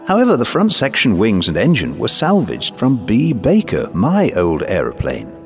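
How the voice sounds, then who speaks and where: A man's voice: lowish voice, huge pitch swings, soft background music, voice pushed up. A man voicing an older, courteous, puzzled former air force pilot in a story. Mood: faltering, explaining. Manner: formal, halting, explanatory.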